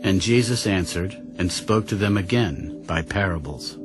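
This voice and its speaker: Deep-voiced male narrator over music. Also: moderate pace. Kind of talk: scripted scripture narration.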